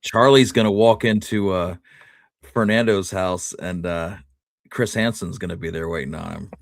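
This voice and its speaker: Male co-host, deep-pitched, casual conversational delivery, very little room sound. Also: normal speed, no room sound, studio quality. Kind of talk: casual chat, commenting on a history discussion.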